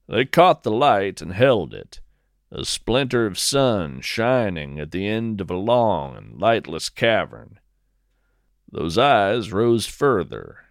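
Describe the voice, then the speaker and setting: A man narrates scripted fiction with a slow, deliberate pace. His low voice moves expressively up and down as he tells the story. The sound is dry and close, with no room around it. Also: no room sound. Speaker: podcast host and narrator.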